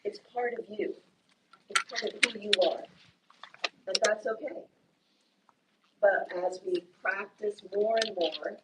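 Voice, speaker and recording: A woman teacher with an Indiana accent talks in a slow, unhurried, patient way, explaining things carefully to her class. She is picked up from some distance across a classroom, with a faint hiss underneath. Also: mid-range voice, a bit bright. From Indiana, USA. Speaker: English teacher.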